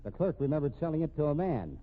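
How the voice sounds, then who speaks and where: An actor playing a wry, dogged police captain with a folksy, probing manner in a 1950s radio detective drama. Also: male voice, a little high, sing-song, fast, narrow, tinny audio. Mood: stern, confident. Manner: folksy, hesitant probing with casual uh and hmm. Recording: thin, band-limited 1950s radio recording.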